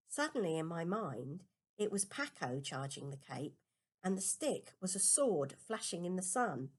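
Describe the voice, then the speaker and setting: Lower-pitched woman reading a storybook aloud. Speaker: amateur audiobook reader. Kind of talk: storybook narration, first-person children's novel read aloud.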